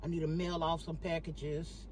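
A woman chatting casually to her viewers in a vlog, animated and talking straight to the camera from a parked car on her phone. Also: sits low, moderate pace, noisy background. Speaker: vlogger.

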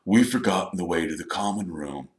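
A man voicing a blunt, excitable young wizard schoolboy with a casual manner, in a storybook reading. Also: deep voice, brisk, reverberant. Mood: blunt, eager.

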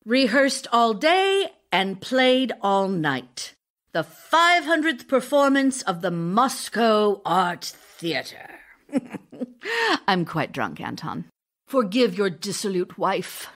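Casting: restless, effusive stage-actress wife for radio play, medium pitch, theatrical and teasing. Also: female voice, sing-song, takes it slowly, a bit bright. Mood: tipsy, exuberant. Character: vivacious, self-dramatizing actress wife, devoted yet restless. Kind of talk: audio drama acting, reading love letters.

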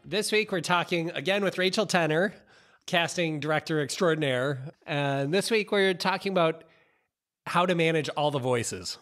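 A man's voice: a little high, moderate pace, fairly bright tone, soft background music. A middle-aged man co-hosting a podcast, chatting casually into a close mic in a home office. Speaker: podcast co-host. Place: home office, video call. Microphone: podcast mic on arm, close.

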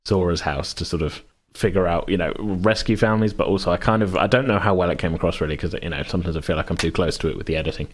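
A man's voice: sits low, quick pace, few pauses. A man talking casually as host and game master, in a rambling, hesitant, self-correcting way. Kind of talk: casual chat about a tabletop game.

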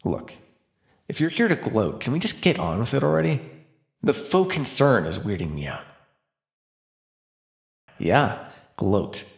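In a roomy, echoing space, a man plays a captive male vampire in an ASMR roleplay. He is flippant and taunting, with plenty of gravelly fry in his voice. His pitch moves around freely as he needles his captors with defiant sarcasm. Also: big pitch swings, unhurried, pauses often. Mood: sarcastic, needling. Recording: roomy echo.

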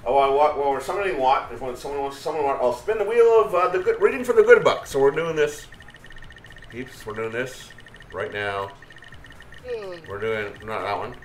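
A man's voice: medium pitch, normal speed, reverberant, slight background noise. A middle-aged man hosting a casual group game raid on stream, chatting playfully with friends and laughing easily. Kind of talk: casual gaming chat with friends. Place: home streaming room.

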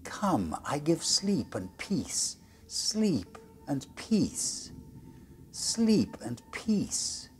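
A man voicing a seductive female vampire from a gothic story, soft, whispery, slow and hypnotic. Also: fairly high pitch, wildly swooping pitch, voice pushed up, full character voice. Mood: hypnotic, luring. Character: seductive female vampire luring victims to sleep.

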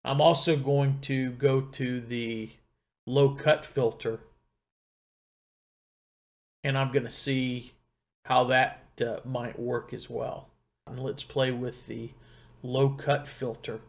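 Middle-aged male tech presenter, mid-range voice, informal step-by-step explaining, pauses to think as he goes. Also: mid-range voice, little pitch movement, creaky. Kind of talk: casual step-by-step gear tutorial. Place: home computer desk in a wood-panelled room. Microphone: desktop condenser mic about two feet away.

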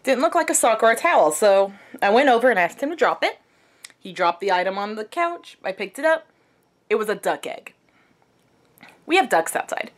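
A young adult woman chatting casually to a handheld camera at arm's length in a small room at home, in a conversational, animated manner. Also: fairly high pitch, normal speed. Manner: animated, conversational, acts out quotes. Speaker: vlogger.

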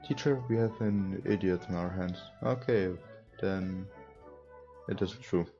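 Low, mellow young man, non-native English speaker. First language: not English. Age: young adult. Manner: halting, stumbles while reading. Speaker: let's player. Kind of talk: casual let's play, reading game dialogue aloud. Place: indoor room at a computer. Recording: dull lo-fi sound. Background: game music.

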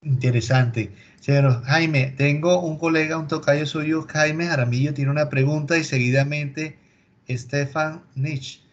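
A man's voice: fairly high pitch, fairly even intonation, measured pace. Male moderator, courteous and directive, muffled video-call audio. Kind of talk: moderating a webinar.

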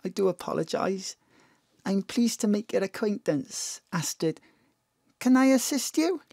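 A woman's voice: mid-range voice, animated, measured pace, a little mellow, no echo, clean studio sound. A woman playing a polite, hesitant, old-fashioned mother in her thirties, dignified in grief, in an audio drama. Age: 30s.

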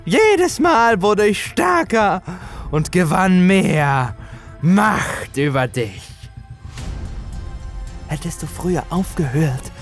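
A man voicing a gloating, manipulative older villain in a horror story, with a taunting, drawn-out delivery. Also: high-pitched, sing-song, faint music behind, pitched above the performer's own voice, exaggerated voice. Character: sinister mocking older man, gloating manipulative dealmaker with supernatural power.

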